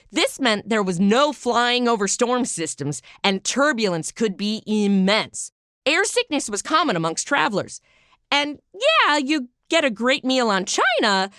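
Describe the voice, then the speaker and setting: An American woman narrates a history segment as a podcast host. Her voice is fairly high and very lively, swinging freely in pitch. She tells it conversationally with a wry touch, in a dry, close recording space. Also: no echo. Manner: conversational storyteller, wry asides, rhetorical questions. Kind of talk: scripted informal history narration.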